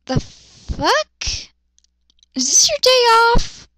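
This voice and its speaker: High-pitched, bright-voiced sarcastic woman. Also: sing-song, extremely slow, no room sound. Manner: sarcastic, exasperated, foul-mouthed. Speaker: reader of fan fiction. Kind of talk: dramatic reading with mocking commentary.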